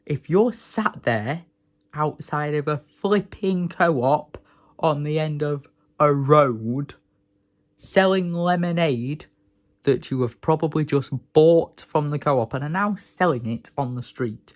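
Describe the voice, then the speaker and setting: A young man from England, hoarse from a sore throat, rambling and grumbling in a casual vlog rant. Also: a little high, slow. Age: young adult. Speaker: vlogger.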